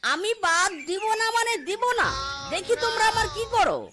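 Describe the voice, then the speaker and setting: A woman voicing a sly, quarrelsome male fox in an animated cartoon, with a shrill, whiny and cheeky delivery. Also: normal speed, over loud music. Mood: defiant, selfish.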